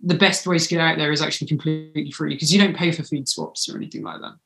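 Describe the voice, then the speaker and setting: A woman's voice: low-pitched, fairly even intonation, fast talker, vocal fry, a bit bright, soft background music. A woman in her thirties talking informally and emphatically in a casual interview over a video call from home. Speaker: podcast creator, interview guest. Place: small indoor room at home. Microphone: video call, computer at arm's length.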